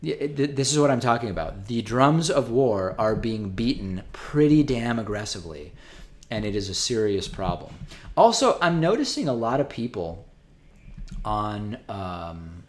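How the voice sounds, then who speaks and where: A man's voice: fairly low pitch, sing-song, a little slow. A man whose first language is Spanish, hosting a live stream and reading out viewer donations in a casual way, with frequent ums and restarts as he thinks aloud.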